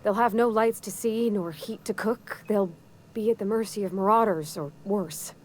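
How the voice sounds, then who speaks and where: A woman's voice: medium pitch, normal speed, darkish tone, natural voice. An actress playing an earnest, shy young woman mechanic in a frontier colony video game, speaking in a hesitant, folksy, polite way. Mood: thoughtful, earnest.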